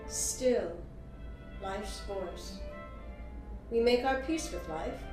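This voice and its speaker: An actress gives a woman poet's lyrical audiobook reminiscence. She sounds a little distant in a roomy space, with music behind her. The phrasing is unhurried, measured and solemn. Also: medium pitch, expressive. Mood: wistful. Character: reflective woman poet recalling her youth. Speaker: audiobook performer. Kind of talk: dramatic poetic audiobook narration.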